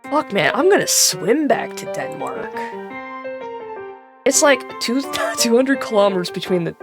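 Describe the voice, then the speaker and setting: A woman's voice: on the high side, big pitch swings, measured pace. A woman reading an interactive gamebook aloud, breaking off into chatty, irreverent asides where she swears and laughs at herself. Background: background music.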